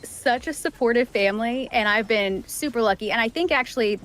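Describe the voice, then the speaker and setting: A middle-aged woman voice actor chatting casually as an interview guest, animated in her storytelling and acting out quoted remarks. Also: a little high, fairly quick. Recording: music playing behind the voice. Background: background music.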